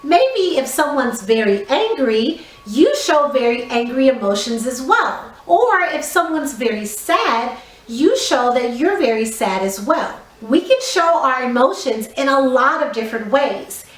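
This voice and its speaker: A woman teaching a theatre lesson to young children, giving instructions in an expressive, clearly enunciated way. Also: high-pitched. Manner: slow, clearly enunciated, exaggeratedly expressive delivery for small children. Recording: echoey room.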